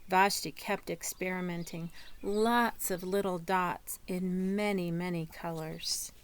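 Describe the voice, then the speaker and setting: A woman reading a children's picture book aloud as a storyteller, in a deliberate manner. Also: on the low side, fairly even intonation, slight background noise. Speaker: storybook reader.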